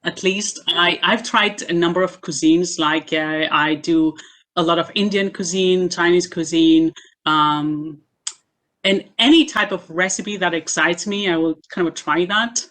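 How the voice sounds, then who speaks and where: A woman from Greece answers questions in a casual interview over a video call. Her voice sits low and deep, and she speaks in a measured way, pausing and hesitating often as she gathers her thoughts. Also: a bit bright. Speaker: guest, assistant professor.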